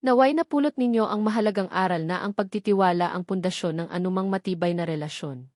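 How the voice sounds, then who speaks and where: A woman with a Filipino accent narrates a scripted dramatic story in an earnest, sentimental way with measured phrasing. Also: lowish voice, darkish tone, no room sound, clean studio sound. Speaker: narrator of online love stories.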